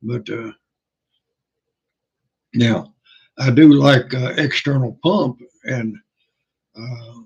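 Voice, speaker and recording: An older man hosting a livestream, chatting casually in a hoarse, rough voice, halting and folksy with many pauses and fillers. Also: medium pitch, darkish tone. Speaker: livestream host. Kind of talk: casual rambling chat. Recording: dull lo-fi sound.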